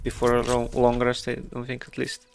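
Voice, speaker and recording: A man's voice: mid-range voice, monotone, moderate pace, vocal fry, background noise. A man from Russia with a Russian accent, chatting casually while streaming a game, thinking aloud with many fillers. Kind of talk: casual gameplay commentary.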